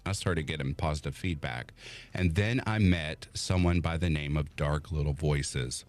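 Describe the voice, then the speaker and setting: A man with a voice he calls made for radio, a podcast guest telling his story in an animated way and acting out what others said. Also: a bass voice, moderate pace. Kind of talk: casual chat on a podcast.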